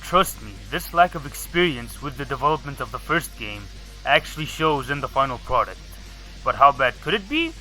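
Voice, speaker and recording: A man's voice: medium pitch, very animated, normal speed, pushed and bright, faint music behind, low-quality recording. A man narrating a scripted video game review in a sardonic, casual way.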